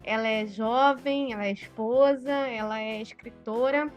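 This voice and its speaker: A woman with a higher voice hosts a casual online chat. She nudges her guest along with friendly questions. Faint music plays underneath. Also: fairly even intonation, unhurried, highs cut off. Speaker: host. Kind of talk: casual interview hosting.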